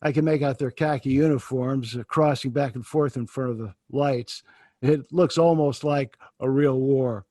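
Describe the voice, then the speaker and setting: An actor playing a confident army captain giving a field report in a radio drama, in a brisk, assured way. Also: male voice, medium pitch, dark tone, dry recording, studio quality, close to an everyday voice. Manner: brisk, assured, then hesitant.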